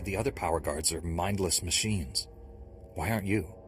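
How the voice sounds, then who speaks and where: An actor playing a steely, ruthless Jedi warrior with a firm, defiant delivery in a video game. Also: male voice, low-pitched, moderate pace, background noise, natural voice. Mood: challenging. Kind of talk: video game dramatic acting. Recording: music behind the voice.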